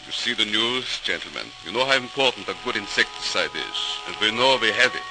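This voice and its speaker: A man's voice: on the low side, expressive, a little fast, noisy background. A man playing a businesslike company director in an old-time radio drama, formal and persuasive. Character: businesslike male company director addressing his board. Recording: old radio recording, music behind.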